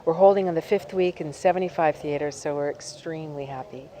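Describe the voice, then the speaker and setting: Upbeat woman with a dark voice. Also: sits low, a little fast. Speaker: film producer. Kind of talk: interview at a film market.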